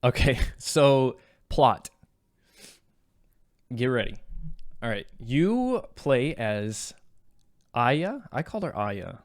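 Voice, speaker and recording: A man's voice: medium pitch, sing-song, slow, long pauses, no echo, studio quality. A man co-hosting a podcast, chatting casually about video games in a joking, self-deprecating way. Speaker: podcast co-host.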